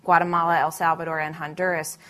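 A woman speaking as a panelist in a discussion, measured and analytical, with frequent fillers and restarts. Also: low voice, flat delivery, fairly bright tone.

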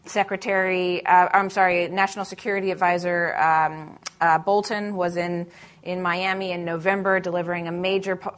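Measured woman speaking on a panel. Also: fairly low pitch, fairly bright tone. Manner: measured, with frequent fillers and restarts. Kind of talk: panel discussion remarks.

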